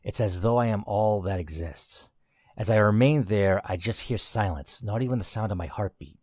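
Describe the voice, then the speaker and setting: A man's voice: lowish voice, moderate pace, darkish tone, no echo, clean studio sound. A man reading a first-person game story aloud as the player, in a dramatic narrating style.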